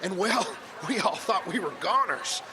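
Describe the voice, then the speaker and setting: An earnest, excitable shepherd who insists on being believed, speaking in a folksy, pleading manner. His voice is on the higher side, caught in a live stage recording with background noise. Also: measured pace. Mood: insistent.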